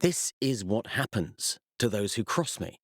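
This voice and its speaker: An actor playing a cold, pompous, sadistic scientist villain in a video game, speaking with condescending, precise diction. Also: male voice, on the low side, sing-song, a little slow, vocal fry, no room sound, clean studio sound, full character voice. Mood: cold triumph. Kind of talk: video game villain voice acting, monologues and research logs.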